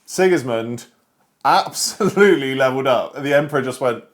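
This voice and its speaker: A man, co-hosting a casual podcast chat about books, enthusiastic and playful. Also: moderate pace. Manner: enthusiastic, lively swings of pitch, playful. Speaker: podcast co-host.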